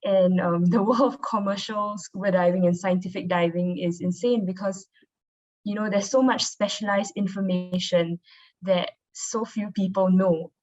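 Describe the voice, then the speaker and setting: A woman's voice: mid-range voice, fairly even intonation, normal speed, a little mellow. A young woman giving an informal, conversational presentation as a marine biologist over a video call. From Singapore. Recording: dull, lo-fi online call audio.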